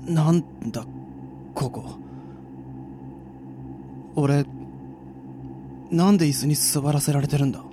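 An earnest rookie secret agent, acted by a man. His voice is on the high side and dramatic, suited to radio-play dialogue and inner monologue, with clear music underneath. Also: slow, pauses often, mellow. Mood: confused, groggy. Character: earnest young male secret agent, newly trained, defiant.

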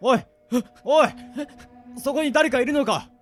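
A man playing a panicking, rough-spoken captive in a radio drama, blunt and demanding. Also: very high-pitched, measured pace. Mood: desperate, urgent. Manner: blunt, commanding shouts.

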